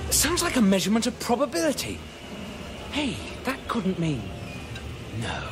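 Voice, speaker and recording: A breezy space traveller in a sci-fi comedy for radio. His voice sits high and darts up and down, eager and excitable. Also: moderate pace, over music, exaggerated voice. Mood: breezy, puzzled. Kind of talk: comic science-fiction radio drama.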